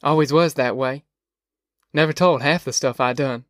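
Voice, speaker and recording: A man's voice: medium pitch, normal speed, a bit bright, no room sound, lightly acted. Man voicing a gloomy country uncle, dry sound. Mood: guilty gloom. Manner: folksy, gloomy, confessional. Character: guilt-ridden, brooding farm uncle.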